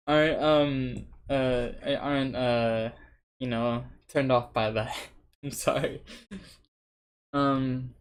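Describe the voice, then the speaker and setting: A young man whose first language is Spanish, rambling and swearing casually to a cheap webcam while seated indoors, with lots of restarts and fillers. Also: mid-range voice. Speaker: vlogger. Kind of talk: casual unscripted chat to camera.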